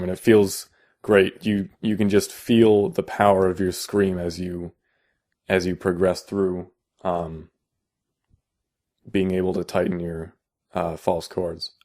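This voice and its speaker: A young man with a deep voice, explaining casually in a how-to video, halting with many fillers and restarts. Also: darkish tone. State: out of vocal shape. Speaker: vocal tutorial maker.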